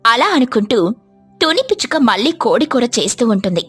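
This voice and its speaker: A woman delivers scripted narration for a children's story. She takes the role of storyteller, and soft music plays faintly behind her voice. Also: a little high, sing-song, fairly quick, breathy edge.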